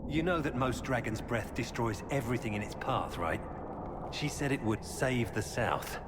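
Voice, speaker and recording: A man's voice: mid-range voice, animated, normal speed, natural voice. A man playing a grave former knight turned mine informant in a video game cutscene. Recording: very echoey hall, clear background noise.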